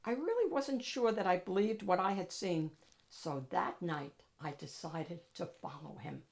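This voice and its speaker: A woman reads a children's picture book aloud as host, with expressive, dramatic emphasis. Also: fairly low pitch, a little slow. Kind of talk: animated storybook narration for children.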